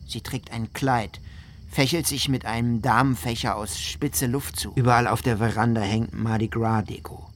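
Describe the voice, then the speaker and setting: Male actor as flood survivor and husband, medium pitch, heartfelt radio drama monologue, faint ambient noise. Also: steady tone, moderate pace, close to an everyday voice. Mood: recounting, heartfelt.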